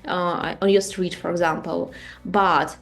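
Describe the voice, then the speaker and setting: A woman's voice: on the low side, steady tone, measured pace, gravelly, faint music behind. A woman from Russia with a Russian accent, giving an online marketing master class in a conversational way, with many fillers and rhetorical questions. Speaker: marketing and branding instructor.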